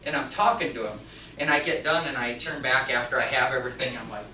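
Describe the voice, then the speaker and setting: Bright-voiced man speaking in an echoey room. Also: mid-range voice, fast. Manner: animated storytelling, acting out dialogue. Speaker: speaker and channel host. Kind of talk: informal instructional talk with anecdotes. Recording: roomy echo, distant mic, faint noise.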